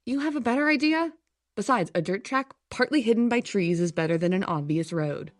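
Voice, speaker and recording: A woman's voice: medium pitch, lively intonation, a little fast, lightly acted. A woman playing a practical, impatient young female adventurer in an audio drama, telling the story in a sardonic, matter-of-fact way. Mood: tense, practical. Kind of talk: scripted audio drama narration. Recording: music behind the voice.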